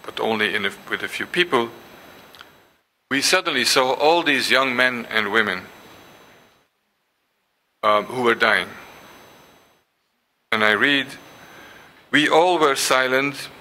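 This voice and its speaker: An older man whose first language is Dutch gives a symposium lecture, pausing often with ums and restarts and reading passages aloud. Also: medium pitch, animated, pushed and bright. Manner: hesitant, many ums and restarts. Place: lecture hall.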